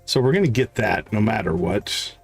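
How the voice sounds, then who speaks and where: A man livestreaming a game, thinking aloud in casual commentary and reading item stats in a deliberate, musing way. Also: lowish voice, animated, vocal fry, breathy edge, faint music behind.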